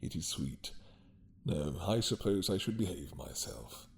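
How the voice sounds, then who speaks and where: An ancient dragon king, imprisoned and obsessed, acted by a man for an intimate audio roleplay. His voice sits fairly low and is very husky. He drawls slowly and hesitantly, slipping into murmured asides, seductive and teasing with menace underneath. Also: very animated, heavily acted. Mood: seductive, playful. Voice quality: husky, breathy.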